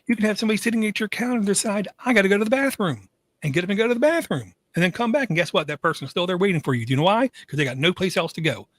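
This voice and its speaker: A man's voice: sits high, expressive, racing, dry recording. A man co-hosting a podcast in casual chat, wry and jokey, with a sarcastic streak. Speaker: podcast co-host.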